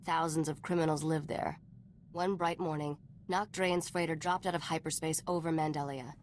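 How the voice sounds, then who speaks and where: A woman's voice: sits low, fairly even intonation, normal speed, a little hiss or hum, natural voice. A woman voice-acting a cool, knowing smuggler informant in a video game, wry and composed as she tells stories.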